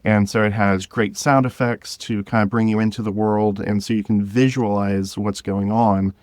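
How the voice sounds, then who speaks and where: A man with a lowish voice in a dry, close recording. He is an interview guest who explains things in an informal, conversational way, often pausing to gather his thoughts. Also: fairly even intonation, a little mellow, dry recording. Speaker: podcast guest, drama creator.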